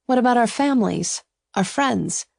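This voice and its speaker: A woman voicing an overwhelmed, hesitant young woman in love in a romance audiobook, faltering and breathy as she protests. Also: a little high, animated, moderate pace, a little mellow, dry recording, clean studio sound, pitched above the performer's own voice. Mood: protesting. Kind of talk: audiobook romance character dialogue.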